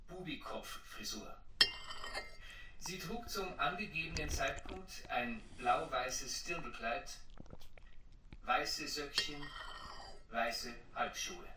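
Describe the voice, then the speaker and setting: An actor playing a formal 1930s police announcer in a radio play, reading a notice in a precise, impersonal way. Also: male voice, a little high. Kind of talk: official bulletin reading. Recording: noisy, echoey, distant bulletin sound.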